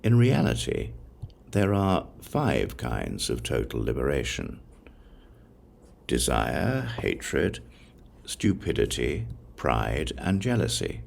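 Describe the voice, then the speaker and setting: An extremely low-voiced man narrates a sacred text, his voice thick with gravelly fry. He reads slowly and solemnly, setting phrases apart with deliberate pauses in a measured recitation. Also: very animated. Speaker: audiobook narrator. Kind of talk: scripted narration of a religious text.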